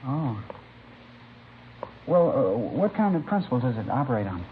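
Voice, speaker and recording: An actor playing an uneasy teenage schoolboy suspect in a vintage radio drama, hesitant and evasive as he asks halting questions. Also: medium pitch, sing-song, close to an everyday voice. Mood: nervous curiosity. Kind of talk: 1950s radio drama acting. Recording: noisy, tinny old radio recording.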